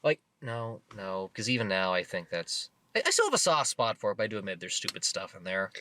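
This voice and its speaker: A man's voice: lowish voice, sing-song, a little fast, bright, forceful tone, a little hiss or hum. A man co-hosting a casual movie review chat, rambling enthusiastically with plenty of fillers and restarts.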